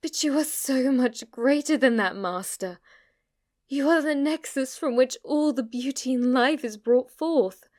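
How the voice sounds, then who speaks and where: A woman plays an obsessively devoted female demon maid in an ASMR roleplay. She speaks slowly in a fairly high voice. Her manner is formal and deferential, full of submissive adoration for her master. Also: a little mellow. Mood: rapturous worship. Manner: formal, deferential, breathless adoration, sometimes whispering.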